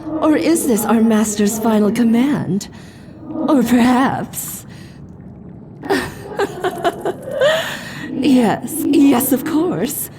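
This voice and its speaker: A woman voicing a mocking, gloating female demonic spirit in a game, with a slow, theatrical, taunting delivery. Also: on the high side, lively intonation, background noise.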